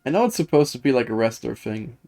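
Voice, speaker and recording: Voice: mid-range voice, sing-song, fast talker, creaky, warm tone. A streamer giving casual, dry and wry live commentary while playing a game and reading its dialogue aloud. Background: faint game music.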